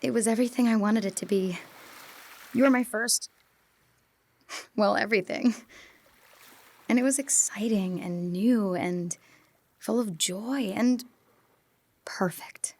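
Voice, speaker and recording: A woman's voice: mid-range voice, measured pace, long pauses, darkish tone. A young woman playing a conflicted former girlfriend in an audio drama, earnest and hesitant in her delivery. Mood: wistful, bittersweet.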